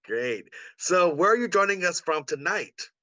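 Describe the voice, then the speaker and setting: A man's voice: high-pitched, expressive, a little slow, bright, forceful tone, no echo. A man co-hosting an online event in an enthusiastic, welcoming manner, giving introductions and asking questions. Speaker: co-host, artistic producer. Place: indoors on a video call.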